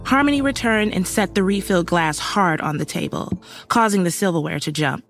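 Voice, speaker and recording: A woman's voice: lowish voice, moderate pace, creaky. A woman performing suspenseful first-person horror narration as an uneasy young woman recounting a horrifying night. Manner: serious, suspenseful storytelling. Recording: music faintly under the voice.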